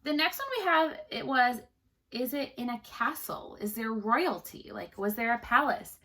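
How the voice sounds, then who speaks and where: A woman's voice: fairly high pitch, animated, moderate pace, fairly bright tone. A woman teacher reading a story aloud to children, expressively, with chatty questions to the listeners.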